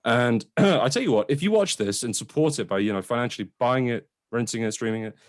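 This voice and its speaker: A man's voice: mid-range voice, lively intonation, brisk. A man co-hosting a film review show, debating in a casual, argumentative and informal way. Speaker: film review podcast co-host.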